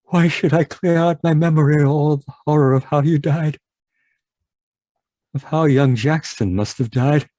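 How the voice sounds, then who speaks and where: An actor playing an anguished, haunted man in an old-time radio horror monologue, halting and repetitive in a melodramatic way. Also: fairly high pitch, mellow, no room sound, natural voice. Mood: horrified, anguished. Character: anguished, haunted man raving about monstrous giant worms.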